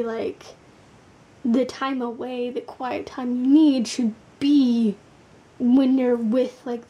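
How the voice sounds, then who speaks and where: Sleepy gravelly woman doing a tarot reading, blunt and sweary, kinda high voice, soft dark tone. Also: poor audio. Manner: blunt, swears casually, lots of fillers. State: tired. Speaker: tarot card reader.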